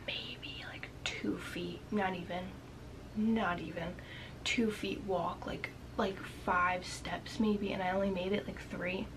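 A young woman with a slightly raspy voice, chatting to her webcam in a small bedroom, full of "like" fillers and often dropping into a whisper. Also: on the low side, fairly even intonation, slow, background noise. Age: young adult. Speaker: vlogger. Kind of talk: casual storytelling to camera.

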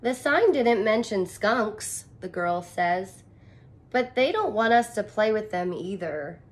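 Slow-paced woman reading to kids. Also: medium pitch. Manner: expressive, exaggerated wonder, simple child-directed phrasing. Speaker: teacher reading aloud to children. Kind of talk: picture-book read-aloud with commentary for young children. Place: small indoor room, curtained corner.

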